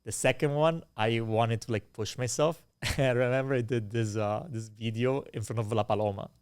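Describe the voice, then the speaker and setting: A young man with an Italian accent talks as a musician guest in a loose, animated interview. He leans on fillers and swears freely as he tells stories. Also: mid-range voice, moderate pace, clean tone, no room sound. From Italian Canadian. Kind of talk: casual interview storytelling.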